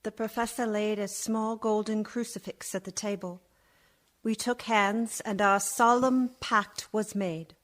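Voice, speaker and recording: A woman's voice: mid-range voice, fairly even intonation, measured pace, lightly acted. An actress playing a composed, earnest wife who reads out her written record in a radio play, precise and formal. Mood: composed, resolute. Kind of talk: scripted radio drama narration.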